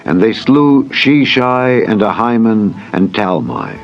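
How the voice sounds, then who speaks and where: A man's voice: on the low side, takes it slowly, highs cut off. A man narrating a dramatized Bible reading in a solemn, formal manner. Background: music.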